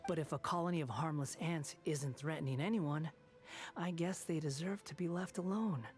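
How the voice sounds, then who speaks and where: Scornful young male antagonist played by an actor, cold, theatrical, deliberate, faint score underneath. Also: a little high, close to an everyday voice. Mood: disdainful, brooding. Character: scornful, brooding young male villain turned penitent. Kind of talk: video game voice acting. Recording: game audio with music behind.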